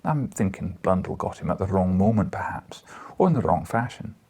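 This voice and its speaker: Man voicing a crabbed old Scottish editor, husky. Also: low-pitched, expressive, moderate pace, mellow, heavily acted. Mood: coaxing. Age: older.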